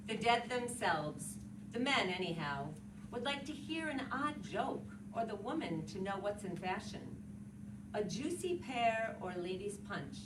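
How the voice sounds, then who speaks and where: A woman reading as a wry, slow-musing funeral-goer. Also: mid-range voice. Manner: musing, drawn-out, broken into short phrases. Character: musing, wry man at a funeral, morbidly curious. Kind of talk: dramatic literary reading. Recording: echoey, distant, very noisy room.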